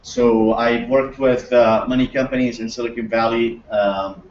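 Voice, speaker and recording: A man's voice: medium pitch, little pitch movement, a little slow, darkish tone, slight background noise. A middle-aged man from Syria giving an enthusiastic conference talk with many fillers and restarts, recorded from a distant camera in a large lecture room. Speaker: guest speaker. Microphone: far camera. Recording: roomy echo, distant, dull lo-fi.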